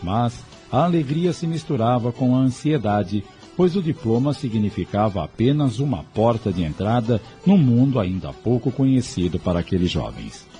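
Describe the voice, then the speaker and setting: A man with a Brazilian accent narrating a scripted audio drama in a measured, literary storytelling manner. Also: medium pitch, very animated, dark tone, soft background music.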